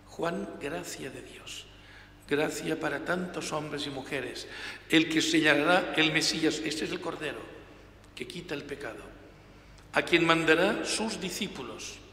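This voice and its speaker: An older man in his sixties preaches from a lectern in a large church, his bright, ringing voice carrying a long reverb. He preaches with emphatic stress, dropping softer and swelling louder on key words. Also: fairly high pitch, unhurried. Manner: emphatic, gestures with hands, varies loudness for emphasis. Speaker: priest. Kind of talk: reading the Gospel and preaching a homily. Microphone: gooseneck lectern mic. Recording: reverberant church hall, faint room noise.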